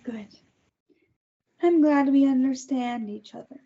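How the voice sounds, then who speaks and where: A woman's voice: high-pitched, takes it slowly, warm tone, muffled recording. A woman reading a cold, self-righteous captor at a table read, making clipped, controlled threats. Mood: contemptuous.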